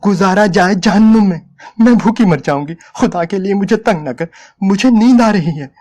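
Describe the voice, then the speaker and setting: A man's voice: sits high, animated, normal speed, a little mellow, voice pushed up. A man voicing an exhausted, desperate woman in a dramatic story reading, pleading and stubborn. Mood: defiant.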